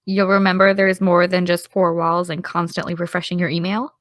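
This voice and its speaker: A woman's voice: on the low side, little pitch movement, fairly quick, no room sound, studio quality, lightly acted. A woman playing a tentative, caring young friend. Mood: gently candid. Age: young adult. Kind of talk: naturalistic audio drama dialogue.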